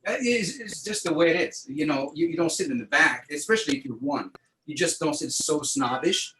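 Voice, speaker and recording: A man's voice: fairly high pitch, expressive, a little fast, pushed and bright. A man telling a travel story on a casual podcast chat, with many repeated words and restarts. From Tunisia. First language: French. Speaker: podcast host. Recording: echoey room, faint noise.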